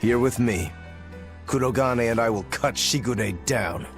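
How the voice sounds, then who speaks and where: A man voicing a confident, easygoing young swordsman who speaks with calm self-assurance. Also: medium pitch, measured pace, over music, lightly acted. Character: cheerful young male swordsman, confident and relaxed. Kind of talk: video game cutscene voice acting.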